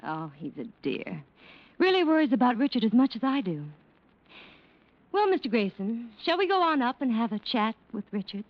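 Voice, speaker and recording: A scheming society wife in an old radio mystery. She coaxes in a very expressive, rising and falling voice. The sound is dull and vintage. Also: a little high, normal speed, a little hiss or hum. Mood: coaxing, sugary. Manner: coaxing, sugary, expressive. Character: smooth, scheming, flirtatious wealthy wife. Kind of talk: 1940s radio acting.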